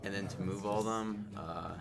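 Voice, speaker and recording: A man's voice: lowish voice, steady tone, moderate pace, creaky. A young man chatting casually as he helps out with practical instructions. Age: young adult. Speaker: workshop co-organiser. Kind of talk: casual helping chat. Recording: busy room, loud chatter. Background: loud crowd chatter.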